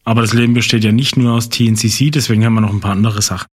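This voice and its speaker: Relaxed male podcast co-host. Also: lowish voice, rapid-fire, music in the background. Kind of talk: casual podcast chat. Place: on site at a training course.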